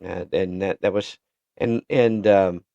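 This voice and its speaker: A man hosting an interview, asking questions in a halting way with many restarts and repeated words. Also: low voice, fairly even intonation, creaky, warm tone, dry recording. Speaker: interview show host. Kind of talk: casual interview chat. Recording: dull lo-fi recording.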